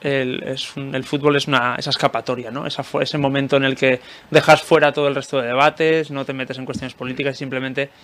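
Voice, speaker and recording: A man's voice: mid-range voice, little pitch movement, brisk, hardly any pauses, fairly bright tone. A young man with an accent from Spain in a casual panel discussion, speaking tentatively with frequent tag questions. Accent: Spanish from Spain. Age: young adult.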